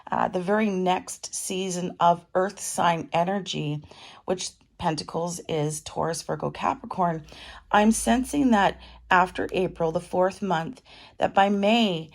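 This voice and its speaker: A middle-aged woman with a cold and a raspy voice, chatting casually as she gives a tarot reading at home. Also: fairly low pitch, unhurried. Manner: chatty, warm advice with fillers. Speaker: tarot reader.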